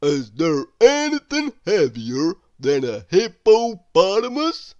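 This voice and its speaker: A befuddled, slow-witted storybook fellow, voiced by a woman. He booms loudly and stretches his words out slowly, with big swoops. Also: sing-song, highs cut off. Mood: puzzled.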